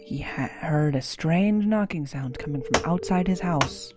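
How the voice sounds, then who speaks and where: A woman's voice: low voice, a little slow. A woman giving a dramatic reading of a story, sweeping in delivery, over background music. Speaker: reader.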